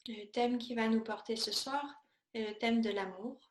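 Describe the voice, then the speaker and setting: Higher-voiced woman guiding meditation, echoey room. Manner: calm, unhurried, soothing, with pauses between short phrases. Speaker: yoga teacher. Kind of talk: guided yoga and meditation instruction.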